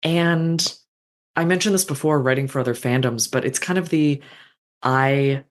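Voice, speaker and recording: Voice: medium pitch, normal speed. A fanfiction author in a casual podcast conversation, thoughtful and meandering, with frequent fillers and restarts.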